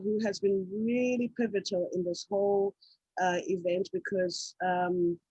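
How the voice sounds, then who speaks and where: Host on a video call: woman from Zimbabwe, husky mid-pitched voice, halting, filler-heavy delivery, dry sound. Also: a little mellow, no echo, muffled recording. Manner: hesitant, many fillers. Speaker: host and artist. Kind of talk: casual video call talk.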